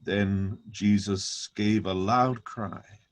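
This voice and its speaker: Low, slow solemn man reading scripture. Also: steady tone. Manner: solemn, measured, deliberate phrasing. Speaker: narrator, scripture reader.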